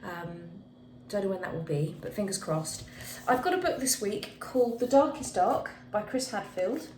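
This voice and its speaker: A woman's voice: medium pitch, expressive, moderate pace, darkish tone. A woman reading a children's picture book aloud expressively, with dramatic emphasis on key words. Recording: echoey room with faint background noise.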